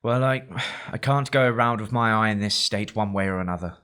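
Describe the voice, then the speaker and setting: A man playing a stubborn, low-voiced officer. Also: a little fast, fairly bright tone, smooth, lightly acted. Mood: resolute. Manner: blunt, decisive. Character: stubborn injured male ship's officer.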